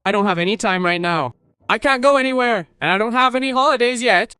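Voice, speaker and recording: Curt cartoon husband, clean clear voice man acting. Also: very high voice, quick pace, pushed and bright, dry recording, studio quality. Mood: dismissive. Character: busy, dismissive husband in a family cartoon. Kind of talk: dubbed cartoon dialogue.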